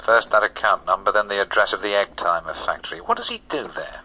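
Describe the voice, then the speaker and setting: Muffled sound, a nasty sarcastic crook in a radio drama, lowish raspy voice, terse and pushy. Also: male voice, very animated, fast talker, sharp tone, exaggerated voice. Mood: sardonic, impatient. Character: ruthless, sardonic male criminal bargaining hard.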